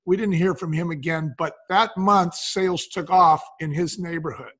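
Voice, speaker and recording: A man's voice: on the high side, steady tone, moderate pace, fairly bright tone, slightly rough. A man telling anecdotes conversationally as an interview guest, acting out the dialogue as he goes. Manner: acts out quoted dialogue. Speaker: interview guest, wine company co-founder.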